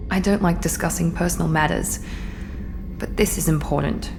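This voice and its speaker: A woman's voice: fairly low pitch, normal speed, creaky, over music. An actress playing a cool, reserved female operative who speaks in a formal, restrained way. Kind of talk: video game voice acting.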